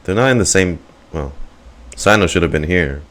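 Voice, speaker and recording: Deep-voiced man reacting to a game. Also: expressive, normal speed, fairly bright tone, slight background noise. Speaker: gaming streamer. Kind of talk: casual reaction commentary.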